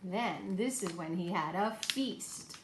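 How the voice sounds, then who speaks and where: A woman's voice: mid-range voice, takes it slowly. Woman teacher addressing children, echoey room. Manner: encouraging, simple questions and explanations for children. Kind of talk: talking to young children in a homemade lesson video. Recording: echoey room, faint background noise.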